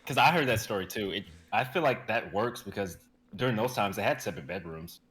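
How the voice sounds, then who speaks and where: A man's voice: fairly low pitch, animated, fairly quick, a bit bright. A man introducing a script reading and its cast, making rambling self-correcting asides. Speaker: reader of stage directions. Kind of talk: casual chat, then introducing a read.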